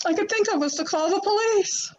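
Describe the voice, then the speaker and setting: A woman's voice: very high-pitched, a little fast, lo-fi sound, slight background noise. A woman playing a flustered widow in a 1940s-style radio mystery, talking in a breathless, stammering way. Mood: shaken. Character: flustered middle-aged widow, woman, quick to defend herself.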